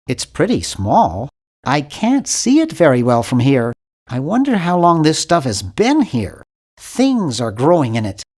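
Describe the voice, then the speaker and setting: Casting: nerdy young game hero, nasal, very animated intonation, timid, polite, hesitant, earnestly bookish. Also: male voice, on the high side, exaggerated voice. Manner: awkward, hesitant, earnest and fussy. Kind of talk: video game character voice lines.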